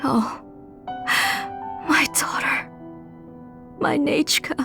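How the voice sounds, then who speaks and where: A tender middle-aged mother from a video game. Her voice sits somewhat high, with a gentle, motherly and emotional delivery. Music plays clearly behind her. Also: very slow, heavily acted. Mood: grieving, guilty. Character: tender, guilt-ridden middle-aged mother and village smith.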